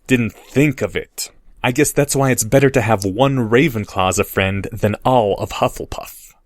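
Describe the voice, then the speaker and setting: A man's voice: mid-range voice, no room sound, clean studio sound, natural voice. Man doing a drawling, haughty young wizard. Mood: sneering. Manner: slow, sneering drawl.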